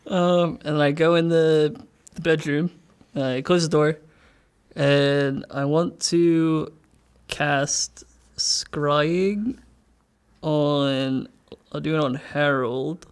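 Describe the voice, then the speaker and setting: A man's voice: on the high side, fairly even intonation, extremely slow, long pauses, clear, resonant tone. A man playing a tabletop game, talking casually and thinking aloud as he tentatively decides his moves.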